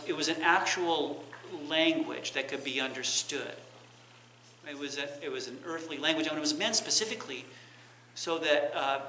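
A man's voice: fairly high pitch, pushed and bright. A middle-aged man giving a teaching sermon in a church, explaining carefully and often rephrasing his points. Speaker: preacher giving a Bible lesson. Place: church sanctuary, indoors. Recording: echoey church room, clear background noise.

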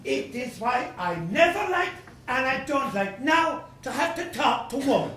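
A woman's voice: high voice, big pitch swings, a little slow, crowd noise. A woman playing a blustering, angry male landowner who rants in exclamatory, self-pitying outbursts, acting out a stage play. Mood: furious. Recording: roomy echo, from a distance.

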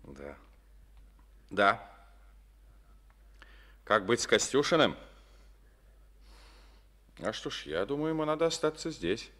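An actor playing a calm, reserved former colonel now a party secretary, speaking tersely in an old radio play. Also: male voice, mid-range voice, takes it slowly, pauses often. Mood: businesslike. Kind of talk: Soviet radio play acting. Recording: old studio radio recording.

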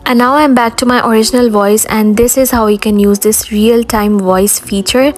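High-voiced woman narrating a software tutorial. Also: fairly even intonation, normal speed. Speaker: tutorial host. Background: background music.

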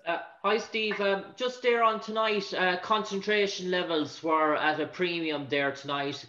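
A man's voice: high-pitched, fairly even intonation, a little slow, pushed and bright. A man, a reporter, asking long winding questions at a press conference over a video call. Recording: echoey, dull lo-fi line.

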